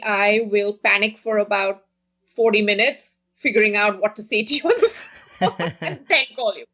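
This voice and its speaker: A woman speaking as a podcast guest in a casual interview, earnest and quick to laugh. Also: medium pitch, fairly bright tone, rough recording.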